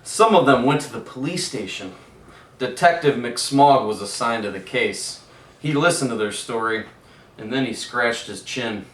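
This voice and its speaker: A man who teaches PE reads a children's picture book aloud slowly, and now and then stops to ask the kids gentle questions. His pitch sits in the middle range. The room echoes, and he is some way from the mic. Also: expressive, recorded from a distance.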